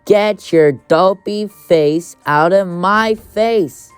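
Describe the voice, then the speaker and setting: A hot-tempered little boy bear cub played by a woman from a storybook, loud and complaining. The voice jumps up high, and music plays behind it. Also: very slow, smooth, no room sound. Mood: angry shouting. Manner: shouting, complaining.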